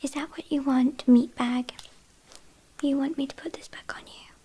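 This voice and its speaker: A British woman playing an ancient, possessive, haughty vampire in a whispered ASMR roleplay, speaking softly with quiet menace and condescension. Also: sits high, steady tone, moderate pace, natural voice. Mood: threatening, impatient. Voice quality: soft breathy whisper.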